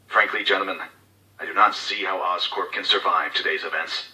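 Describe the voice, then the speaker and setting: A man's voice: sits low, fairly even intonation, moderate pace, sharp tone, roomy sound, far from the mic, rough recording. An actor playing a cold, scheming male corporate executive who gives covert orders in formal, clipped, authoritative speech for a game audio log. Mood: urgent, grim.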